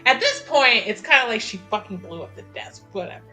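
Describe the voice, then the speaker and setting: A woman's voice: medium pitch, animated, fairly quick, pushed and bright. A woman playing a tabletop roleplaying game, thinking aloud informally as she describes what her character does. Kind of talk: casual improvised roleplay chat. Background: background music.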